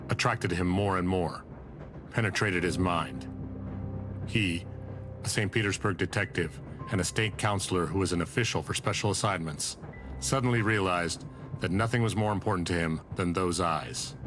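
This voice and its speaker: A man acts as a solemn storyteller for a visual novel. His voice is deep, reading literary narration with music clearly playing behind it. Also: natural voice. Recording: voice over prominent background music.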